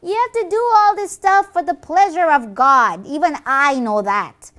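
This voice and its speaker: A woman's voice: high voice, unhurried, a bit bright. A woman voicing a cocky, taunting little devil minion in a puppet show, mocking and boastful. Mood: scolding, gloating. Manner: mocking, dismissive, gleefully boastful.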